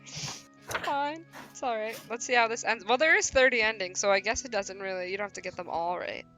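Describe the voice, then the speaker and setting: A woman's voice: fairly high pitch, brisk, sharp tone, soft background music. A woman hosting a game livestream, chatting casually in slang and reading a visual novel aloud. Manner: playful, slangy, exclaiming. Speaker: livestream host and game player.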